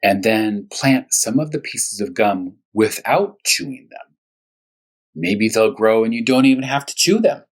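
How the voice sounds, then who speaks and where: A male primary school teacher with a slightly low, rough-edged husky voice leads a storytime for young children. He speaks in plain, short phrases and often repeats words so that little listeners can keep up. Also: expressive, a little slow. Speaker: first grade teacher reading aloud. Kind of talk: storytime read-aloud and discussion for young children.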